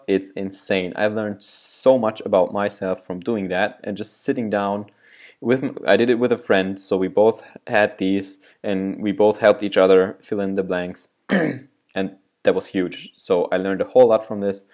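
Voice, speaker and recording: Young male vlogger, German first language, slightly low voice, easygoing and rambling. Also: a little mellow. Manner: loose and rambling, restarts and asides. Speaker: video creator giving self-improvement advice. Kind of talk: casual explainer talking to camera.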